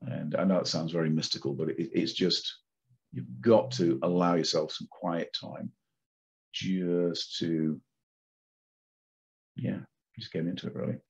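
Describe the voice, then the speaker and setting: A man's voice: deep voice, fairly even intonation, a little slow, vocal fry, long pauses, breathy edge, lo-fi sound. A man chatting casually on a live stream, rambling with filler words. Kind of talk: casual chat.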